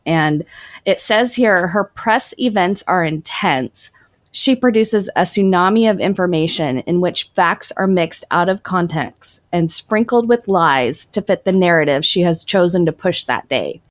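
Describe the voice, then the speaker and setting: A woman's voice: lowish voice, expressive, a little slow, no echo. A woman co-hosting a casual podcast, reading article passages aloud and joking about her own pronunciation. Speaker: podcast co-host.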